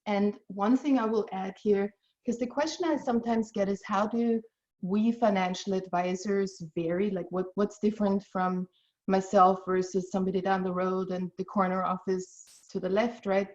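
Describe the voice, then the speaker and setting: A woman's voice: medium pitch, fairly even intonation, darkish tone. Woman on muffled call audio. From Austria. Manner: earnest, encouraging, measured explaining. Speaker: financial advisor guest. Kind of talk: webinar presentation.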